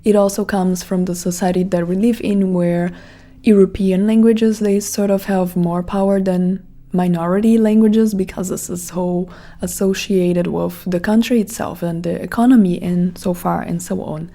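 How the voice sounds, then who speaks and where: Brazilian woman with a mellow voice musing aloud. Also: fairly low pitch, steady tone, normal speed. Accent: Brazilian Portuguese. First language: Portuguese. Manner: reflective, rambling with many "like" fillers. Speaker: podcast host. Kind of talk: casual monologue.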